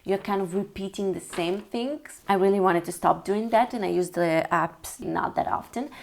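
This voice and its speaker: A young woman whose first language is Italian, talking casually in English with an Italian accent, chatty and full of fillers and restarts. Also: mid-range voice. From Italy. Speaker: vlogger. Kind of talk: casual talk to camera.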